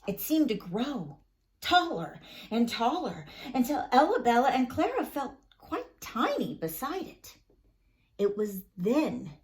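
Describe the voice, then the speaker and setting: A woman narrating a children's storybook expressively, with dramatic, careful delivery for young listeners. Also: mid-range voice.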